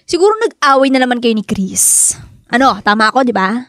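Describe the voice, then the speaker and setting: A young Filipina bride-to-be, with a high voice that swings widely as she nags and teases. She is insistent and expressive, and the recording is dry and close. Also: no echo. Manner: nagging, expressive, quick to press questions. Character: insistent, teasing young bride-to-be, best friend. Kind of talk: Tagalog radio drama acting.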